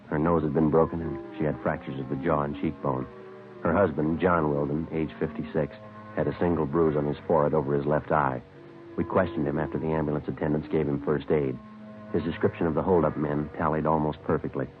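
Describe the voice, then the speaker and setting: An actor playing a terse, deadpan American police detective in a 1950s radio drama, with matter-of-fact case narration. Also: male voice, extremely low pitch. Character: low-voiced, matter-of-fact police detective, dryly skeptical. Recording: tinny, band-limited vintage radio recording.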